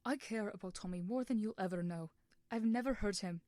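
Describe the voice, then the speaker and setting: A woman playing a regretful, defensive man in a story, melancholic and pleading, halting and earnest. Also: mid-range voice, a little mellow, dry recording, close to an everyday voice. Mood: defensive, snapping.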